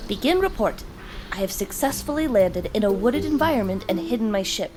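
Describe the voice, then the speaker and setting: A woman's voice: medium pitch, animated, brisk, noisy background, close to an everyday voice. Woman playing stiff, earnest alien disguised as a boy. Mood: secretive, reporting. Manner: stiff, over-formal, literal speech. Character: earnest alien posing as a preteen boy, formal and suspicious. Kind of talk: audio drama acting.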